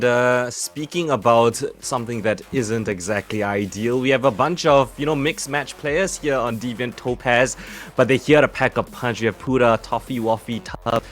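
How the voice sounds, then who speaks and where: A young man from Singapore with a Singaporean English accent, giving relaxed esports desk analysis full of fillers. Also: mid-range voice. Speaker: esports caster.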